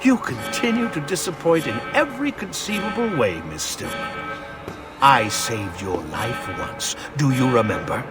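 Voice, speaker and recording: A man's voice: mid-range voice, very animated, a little slow. An actor voicing a smug, condescending male villain scientist in a game cutscene. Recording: loud music behind.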